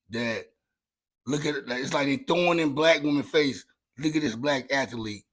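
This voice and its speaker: A raspy man talks straight to his listeners in a relaxed, slangy, unfiltered way. His pitch sits right in the middle of the male range, and the recording is dry and close with no room sound. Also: no echo, low-quality recording. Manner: loose, candid, slangy and profane. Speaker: podcast host. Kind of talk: casual solo chat.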